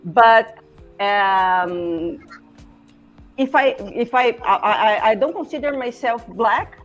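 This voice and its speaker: A woman in her forties from Brazil, speaking English with a Brazilian Portuguese accent as a podcast guest, halting and searching for words with many restarts. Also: on the high side, highs cut off, soft background music. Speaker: podcast guest, former classmate. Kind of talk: casual conversation.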